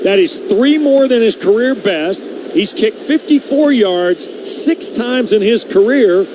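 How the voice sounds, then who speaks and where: Male play-by-play announcer, American, high-pitched and punchy, calling football live over clearly audible stadium noise. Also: expressive, slow. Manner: punchy short present-tense calls. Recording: loud stadium noise behind the voice. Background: stadium ambience.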